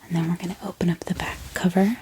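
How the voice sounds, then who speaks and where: A woman speaking in a hushed, breathy whisper, gently explaining things in an ASMR style. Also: fairly low pitch, level tone. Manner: soft, hushed, unhurried explaining. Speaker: ASMR book haul host. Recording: clear background hiss, handling clicks.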